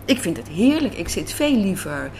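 A woman's voice: mid-range voice, sing-song, moderate pace, a little mellow. A woman giving conversational, reflective interview answers as a writer and director, in a café. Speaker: guest, radio drama writer and director. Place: indoors in a café. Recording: clear background noise of a café.

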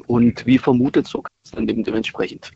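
A man's voice: medium pitch, steady tone, fast, vocal fry, mellow, slightly rough, no echo. A middle-aged man, a native Italian speaker raised in Germany, calls in to a radio show and talks hesitantly with many fillers and restarts. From Italy, moved to Germany at six. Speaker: radio caller. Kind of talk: casual phone-in chat. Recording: muffled phone-in call on speakerphone.

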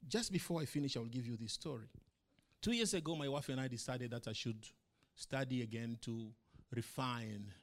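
A middle-aged man, a pastor whose first language is not English, preaching a sermon to his church with emphatic rhetorical questions, swinging between loud and soft. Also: mid-range voice.

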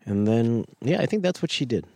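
A man's voice: medium pitch, big pitch swings, brisk, dark tone, a little hiss or hum. A man from Zimbabwe, a guest entrepreneur, giving short, hesitant answers in a casual interview.

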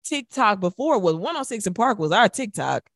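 An American woman, roughly in her thirties, co-hosting a radio show with casual talk about music. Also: mid-range voice, sing-song, moderate pace, a bit bright, no echo, clean studio sound.

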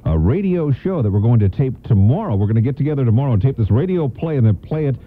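A man's voice: medium pitch, lively intonation, quick pace, a little hiss or hum. A middle-aged American man hosting and announcing on live radio, chatting on air. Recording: band-limited phone-like old radio broadcast.

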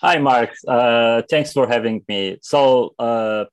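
A man's voice: medium pitch, slow pace, clear, resonant tone, no room sound. A young man from Iran, a native Azerbaijani Turkish speaker, answering interview questions in a hesitant way with many fillers and restarts. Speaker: interview guest, activist.

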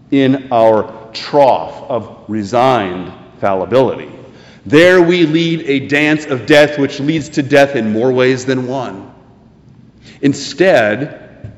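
An American man preaching a sermon in an earnest, deliberate way, leaning on key phrases. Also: mid-range voice. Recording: echoey hall with long reverb.

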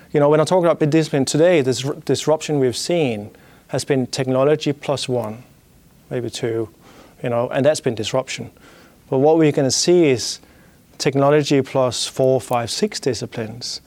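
A man's voice: mid-range voice, darkish tone. A man with a Danish accent giving a business talk, thinking aloud with many restarts and "you know" fillers.

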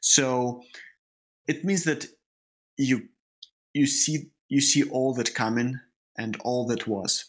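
A man with a heavy Russian accent, a native Russian speaker, co-hosting a spiritual discussion podcast and often halting to repeat words as he searches for phrasing. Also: mid-range voice. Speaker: podcast co-host.

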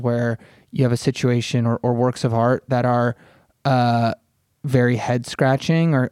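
A man's voice: medium pitch, creaky, darkish tone, no echo, studio quality. A man in a casual discussion, explaining as he thinks aloud, often hedging and correcting himself. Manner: thinking aloud, halting and self-correcting, with many "kind of" hedges. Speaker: podcast panelist and arts writer.

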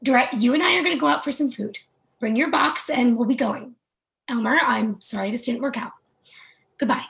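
A woman's voice: on the high side, brisk, creaky, a bit bright. A woman in a play reading, playing a stern, protective and reproachful sister. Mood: firm. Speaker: actor in a staged play reading. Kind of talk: readers theatre acting.

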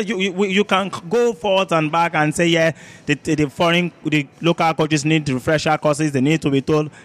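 Nigerian guy on a sports podcast, higher-ish voice, argues his point hard, repeats himself. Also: a bit bright. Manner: argumentative, emphatic, repeats words, rhetorical questions. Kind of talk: opinionated sports debate.

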